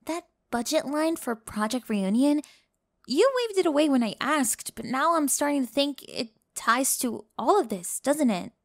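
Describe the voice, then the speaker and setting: An earnest young stage newcomer, acted by a woman in an audio roleplay. She has a slightly high voice and a tender, hesitant delivery, with nerves showing through. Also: lively intonation, a little slow, vocal fry, exaggerated voice. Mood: wry. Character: earnest, anxious young woman, first-time stage performer. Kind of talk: audio roleplay drama acting.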